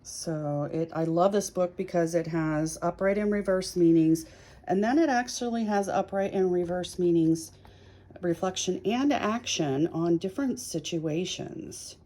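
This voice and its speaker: A woman's voice: on the low side, unhurried, dark tone. A woman hosting a tarot reading, chatting casually and thinking aloud in short, musing phrases. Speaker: tarot reader hosting a video series.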